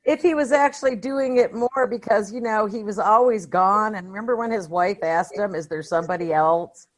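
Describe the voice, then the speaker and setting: A woman's voice: medium pitch, normal speed. A middle-aged woman chatting informally about a film as a podcast co-host, given to anecdotes. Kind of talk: casual film discussion.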